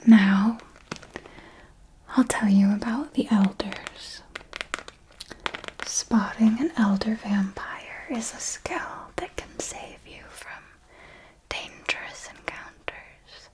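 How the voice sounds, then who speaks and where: Slow, whispering female vampire mentor, acted by a woman. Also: medium pitch, lightly acted, low-quality recording. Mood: calm, instructive. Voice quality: breathy whisper. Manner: slow, deliberate, formal, intimate. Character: elegant female vampire mentor, possessive, fond, calmly commanding. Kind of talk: whispered ASMR roleplay. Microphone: close microphone.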